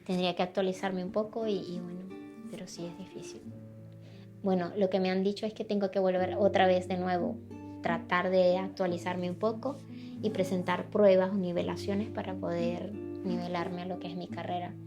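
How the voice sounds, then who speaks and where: A woman speaking softly and reflectively as a migrant in a documentary interview. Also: on the low side, flat delivery, fast, over music.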